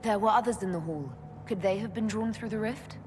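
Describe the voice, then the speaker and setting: A woman's voice: medium pitch, brisk, darkish tone, a little hiss or hum, lightly acted. Woman playing a composed heroine in echoing hall. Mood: puzzled. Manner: direct, unflustered. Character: composed, blunt young female elf mage leader. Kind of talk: video game voice acting. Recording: long hall reverb, music behind.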